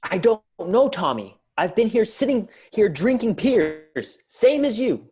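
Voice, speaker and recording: A man's voice: high voice, big pitch swings, measured pace, a little mellow, slight background noise. A man reading a laid-back, slightly drunk teenage boy who talks to the camera. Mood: annoyed. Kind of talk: table read.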